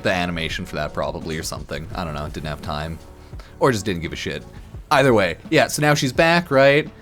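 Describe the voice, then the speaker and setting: A slightly drunk man giving casual, sarcastic game commentary on a stream, blunt and quick to swear. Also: fairly low pitch, fairly bright tone. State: a bit drunk.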